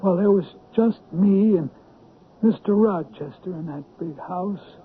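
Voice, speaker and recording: A man's voice: high-pitched, a little slow. An actor playing a loyal, rambling old manservant telling his tale in a 1970s radio drama. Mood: wistful. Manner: rambling, folksy storyteller. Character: loyal, talkative old manservant recounting a tragedy. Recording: tinny, noisy old radio broadcast recording.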